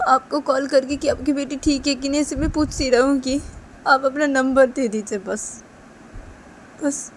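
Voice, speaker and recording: A woman's voice: high voice, slight background noise. A woman voicing a young female employee who begs earnestly and tearfully, repeating please.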